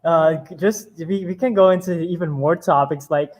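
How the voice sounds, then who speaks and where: Male podcast host, a bit high-pitched, encouraging and effusive, casual delivery. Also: moderate pace, a little mellow. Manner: enthusiastic, affirming, hesitant fillers. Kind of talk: casual interview chat.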